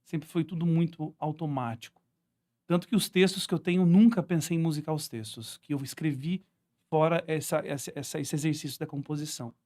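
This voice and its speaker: A man's voice: fairly high pitch, steady tone, fairly quick, dark tone. A man with a Brazilian accent telling stories as a musician guest in a casual interview, often checking in with little tags. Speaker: guest musician, singer-songwriter.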